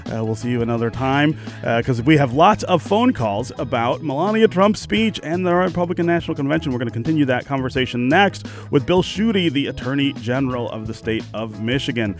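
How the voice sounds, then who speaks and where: A man hosting a radio interview in an informal, lightly teasing and halting way. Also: mid-range voice, lively intonation, soft background music. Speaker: radio host. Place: radio studio.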